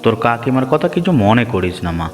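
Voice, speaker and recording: An actor voicing a kind-hearted, poor fisherman in a cartoon, gentle and good-natured. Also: medium pitch, very animated, fast, long reverb tail, soft background music, natural voice. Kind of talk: Bengali cartoon dubbing.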